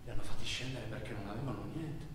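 A man performing a dramatic theatrical monologue, narrating a journey as a stage actor, swinging between hushed delivery and loud declamation. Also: fairly low pitch, quick pace, noisy background. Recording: echoey hall, distant mic, music behind. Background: music.

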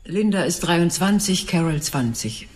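An actress playing a composed, matter-of-fact widow of about fifty in a radio drama. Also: female voice, on the low side, lively intonation, measured pace.

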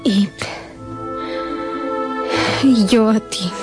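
An actress playing a gentle, worried young woman in a radio drama, earnest, hesitant and soft-spoken. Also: mid-range voice, drawn-out words, music in the background. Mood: tender, concerned.

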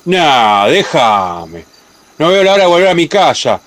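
A man's voice: fairly high pitch, big pitch swings, measured pace, fairly bright tone, dry recording. A man playing a tired, dismissive guard in a radio play, brusque and colloquial, with a Rioplatense Argentine accent. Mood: impatient, dismissive. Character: tired, dismissive male guard eager to go home. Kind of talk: community radio play acting.

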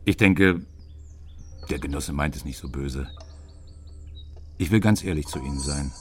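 An actor playing an imposing secret-police boss in a radio drama, speaking with measured, persuasive authority. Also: male voice, extremely low pitch, a little mellow, slight background noise. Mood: calm, persuasive. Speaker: senior secret police officer.

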